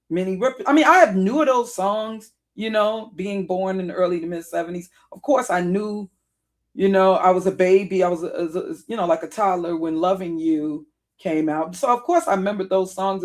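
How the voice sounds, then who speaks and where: A woman in her fifties with a deep contralto voice that sounds worn and raspy, telling personal stories to camera in a rambling, digressive way. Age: 50s. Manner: rambling and digressive, with frequent self-corrections. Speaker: vlogger. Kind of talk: casual personal storytelling to camera.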